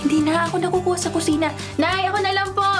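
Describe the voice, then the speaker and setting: A woman's voice: very high voice, steady tone, racing. An actress playing a boyish, easygoing and caring young woman in a radio drama, speaking casually. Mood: breezy, caring. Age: young adult. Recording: music bed behind radio drama dialogue. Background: music.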